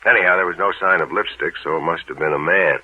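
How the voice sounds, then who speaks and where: An American man playing a gruff, plain-spoken police sergeant in an old-time radio drama, talking in a casual, folksy, matter-of-fact way. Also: sits low, fast, no room sound. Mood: explaining. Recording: narrow, tinny old radio recording.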